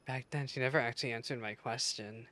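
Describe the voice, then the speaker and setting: A woman's voice: very deep voice, quick pace, background noise. A woman giving casual, slangy, reactive commentary while she plays a game. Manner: informal, animated, slangy. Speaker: gaming reaction streamer.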